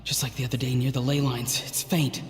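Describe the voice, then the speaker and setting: An actor playing an earnest, troubled young mage in a video game, speaking in a hushed, searching, quietly determined way. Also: male voice, mid-range voice, level tone, fairly quick, lightly acted. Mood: wondering, uneasy. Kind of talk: dramatic video game acting. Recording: long hall reverb, game music underneath.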